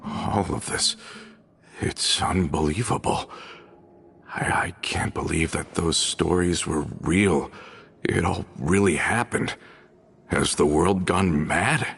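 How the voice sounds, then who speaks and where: A man's voice: sits low, takes it slowly, vocal fry, fairly bright tone, slight background noise, exaggerated voice. An actor playing an earnest veteran man of a fantasy brotherhood in a video game, speaking expressively. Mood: shocked, grieving. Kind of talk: video game character voice acting. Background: background music.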